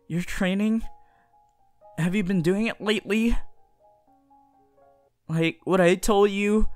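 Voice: mid-range voice, close to an everyday voice. A performer voicing a shy, earnest, lovestruck teenage boy hero in an anime roleplay, stammering tenderly. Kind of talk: anime fan audio roleplay addressed to the listener.

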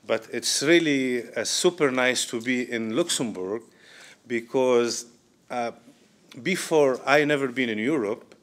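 A man in his thirties with a Syrian Arabic accent, giving an informal talk to an audience in halting English full of pauses and fillers, recorded by a distant camera in an event room. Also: mid-range voice. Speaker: guest speaker, restaurant owner. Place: medium indoor event room with diners. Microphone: far camera, no mic.

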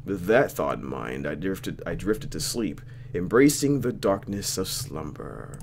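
Low-voiced, very animated young male gamer. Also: measured pace, a little hiss or hum. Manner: playful, reactive. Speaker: gamer reading a visual novel aloud. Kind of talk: let's play, dramatic reading with casual commentary.

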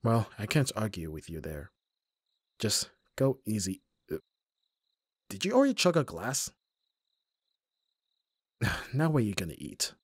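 A man playing an abrasive young bodyguard who is secretly soft, in an ASMR roleplay audio drama, with gruff, protective exasperation. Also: on the low side, big pitch swings, a little slow, long pauses, dry recording, studio quality, exaggerated voice. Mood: dry, exasperated.

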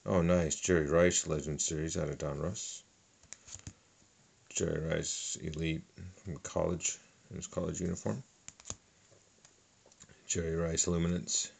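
A middle-aged man calmly listing sports cards one by one at a table, with a lot of fillers. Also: low voice, a little slow, creaky, pauses often, slightly rough. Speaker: card collector. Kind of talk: casual card unboxing narration. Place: indoors at a table.

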